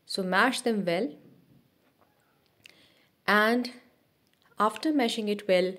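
A woman narrating a cooking video as a voice-over, giving steady step-by-step instructions with small restarts. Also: medium pitch, slow pace. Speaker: cooking channel host.

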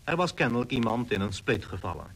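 Expedition member in a radio play, husky mid-pitched storyteller, faint music. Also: male voice, sing-song, quick pace, a bit bright, exaggerated voice, low-quality recording. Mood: tense recounting. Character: male expedition member recounting a hard trek. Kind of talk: scripted radio drama narration.

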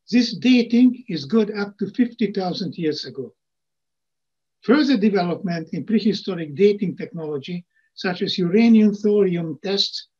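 Older guy reading slides on a video call, high husky voice, dull lo-fi sound. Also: darkish tone. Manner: reads slide text, adds plain asides. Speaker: lecturer. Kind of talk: reading lecture slides with asides. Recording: muffled lo-fi video call audio.

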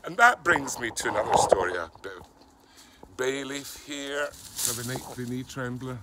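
A man's voice: mid-range voice, animated, normal speed, heavy background noise, lightly acted. A man playing a chatty middle-aged bloke with a mixed Yorkshire and Glaswegian accent, filming a cooking video in a home kitchen and rambling off into gossip and stories. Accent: Yorkshire with Glaswegian mix. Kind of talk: comic character monologue.

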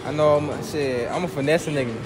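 A man's voice: fairly high pitch, quick pace, music in the background, rough recording. A young man answering as a passerby in casual slang, jokey and swearing often. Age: young adult. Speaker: interviewed passerby. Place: large indoor shopping mall. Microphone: interviewer's handheld phone.

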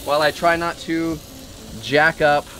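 A man's voice: fairly high pitch, little pitch movement, a little slow, a bit bright, a little hiss or hum. A man in his thirties hosting a casual cooking show, bantering in a joking, self-deprecating way. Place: outdoor covered patio griddle kitchen. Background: music, food sizzling.

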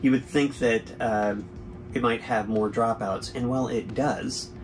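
An easygoing man in his forties talks through a product comparison from inside a boat cabin, picked up by a camera a short way off. His voice sits at an ordinary middle pitch, and he explains things loosely with plenty of fillers. A clear bed of background noise runs under him. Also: steady tone, a little slow. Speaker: boating channel host. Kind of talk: casual product review.

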